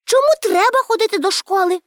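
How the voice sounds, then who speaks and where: Woman acting a cheeky high-pitched little girl. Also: fast talker, no echo, clean studio sound. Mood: cheeky, curious. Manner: playful, breezy, emphatic. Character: cheeky, carefree nine-year-old girl, proud and contrary.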